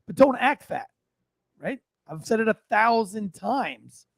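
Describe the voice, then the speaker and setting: An American man with a notably high voice for a male speaker gives casual, opinionated podcast banter. He is blunt and hammers phrases by repeating them, recorded dry and close. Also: lively intonation, slow pace, gravelly, no echo. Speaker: podcast co-host.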